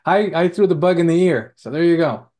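A man co-hosting an informal show, chatting casually in a halting way and often repeating his words. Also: on the high side, mellow. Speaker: co-host running the muting. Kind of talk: casual chat.

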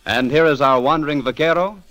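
A man's voice: on the high side, brisk, lightly acted. An American man announcing for a 1940s radio program in a formal, grandiose scripted style. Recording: old radio broadcast with music behind.

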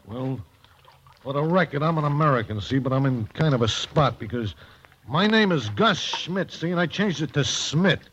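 Plain-spoken sailor on muffled radio. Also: male voice, on the high side, expressive, normal speed, fairly bright tone, slightly rough. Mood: resentful. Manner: folksy, colloquial. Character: plain-spoken, good-natured German-American sailor. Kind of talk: 1940s radio drama acting. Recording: muffled, noisy old radio recording.

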